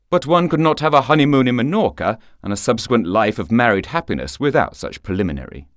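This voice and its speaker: A man narrating a short story for radio in a theatrical, expressive manner. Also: fairly low pitch, no room sound, studio quality. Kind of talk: scripted literary narration for radio.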